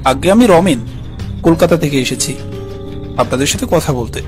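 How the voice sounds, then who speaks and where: Man acting earnest city man, slightly high-pitched. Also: animated, normal speed, close to an everyday voice. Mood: polite, persuasive. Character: polite, inquisitive city man narrating his horror tale. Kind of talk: scripted horror narration and dialogue. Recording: loud music under the voice.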